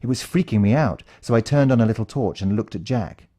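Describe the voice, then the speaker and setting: British male narrator, low and very mellow, for suspenseful first-person fiction. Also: brisk. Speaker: audiobook narrator.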